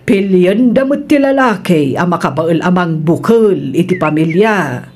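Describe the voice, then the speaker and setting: A woman's voice: fairly low pitch, expressive, a little slow, a little mellow. A woman with an Ilocano accent playing a matter-of-fact woman giving advice in a comedy radio drama. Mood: advising.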